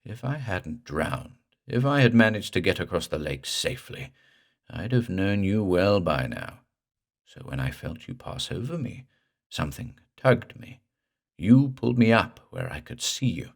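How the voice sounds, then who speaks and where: A man voicing a drowned dead man's slow guttural drawl. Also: deep voice, very animated, vocal fry, exaggerated voice. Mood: menacing.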